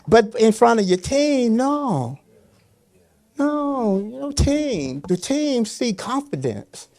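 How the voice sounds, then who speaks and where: A man's voice: extremely high pitch, very animated, slow pace, a little mellow. An older man speaking off the cuff as a panelist, driving points home with short, repeated phrases like a preacher. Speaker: panelist, pastor. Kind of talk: unscripted motivational panel talk.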